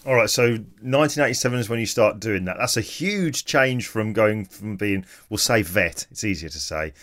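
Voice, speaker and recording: Lively-voiced male podcast host. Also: lowish voice, normal speed. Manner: friendly, chatty, rambling questions. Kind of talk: casual interview chat.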